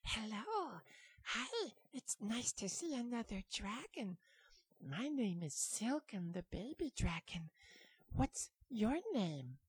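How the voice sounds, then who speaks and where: A storyteller voicing a timid but knowing little girl dragon in a soft, breathy, raised voice for a children's story. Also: medium pitch, sing-song, slow pace, pitched above the performer's own voice, full character voice. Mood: shy.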